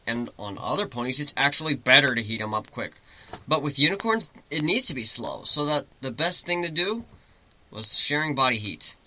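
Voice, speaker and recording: A man giving an expressive, theatrical dramatic reading of a first-person story. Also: fairly high pitch, rough recording. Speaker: amateur story reader, narrator.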